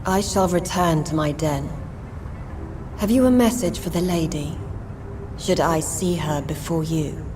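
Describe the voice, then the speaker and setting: An actress playing an ancient, wise vampire woman of the sewers in a video game, measured, archaic and cryptic in speech. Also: deep voice, over music. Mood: solemn. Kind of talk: video game drama acting.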